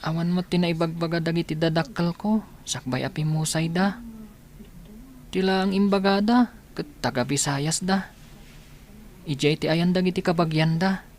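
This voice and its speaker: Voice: mid-range voice, steady tone, moderate pace, long pauses, darkish tone, lightly acted. A performer with an Ilocano Filipino accent acting a sad, meek, pleading young male student in a radio drama. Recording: clear background ambience noise.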